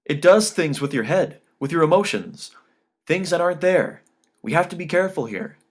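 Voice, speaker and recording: A man reading an audiobook, voicing a male guitarist who is polite, nervous and insistent and talks loudly. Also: on the high side, expressive, moderate pace, slightly rough, pitched above the performer's own voice. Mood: urgent warning. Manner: loud, urgent, cautioning. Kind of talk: audiobook character dialogue.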